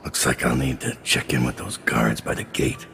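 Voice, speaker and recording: An actor playing a middle-aged, world-weary assassin leader in a video game, grim, measured and dryly threatening, with a gravelly, very deep voice. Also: male voice, flat delivery, big-room sound, over music. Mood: grim, businesslike. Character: gravelly, world-weary, menacing master assassin leading a gang. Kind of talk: video game character acting.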